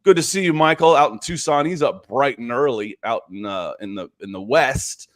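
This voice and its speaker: A man's voice: medium pitch, lively intonation, moderate pace, dry recording, clean studio sound. A man in his fifties hosting a casual live sports podcast, chatting conversationally with frequent asides. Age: 50s. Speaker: podcast host.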